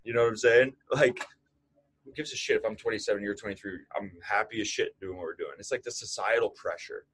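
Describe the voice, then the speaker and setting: A man's voice: on the low side, fairly bright tone, slightly rough, low-quality recording. A man in his late twenties, a strength coach co-hosting a podcast, talking bluntly in casual chat and swearing freely.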